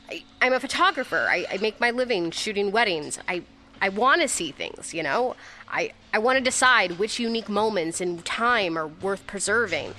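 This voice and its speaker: A woman's voice: medium pitch, bright, forceful tone, slight background noise. A young woman playing a flustered, grieving ex-girlfriend who stammers her way through a confessional memorial speech in an audio drama. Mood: wistful, restless. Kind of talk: audio drama monologue, naturalistic.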